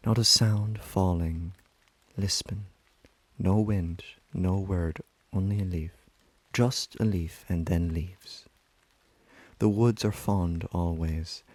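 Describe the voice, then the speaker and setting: A man's voice: low-pitched, a little mellow, dry recording. A man reciting a lyrical literary monologue as a weary, tender aging wife and river woman, in an intimate manner. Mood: tender, wistful.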